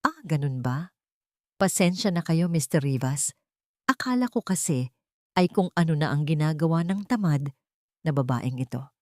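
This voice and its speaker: A woman's voice: fairly low pitch, darkish tone, dry recording, clean studio sound. A woman voicing a malicious, greedy older mother-in-law in an audio drama, scornful and bossy in manner.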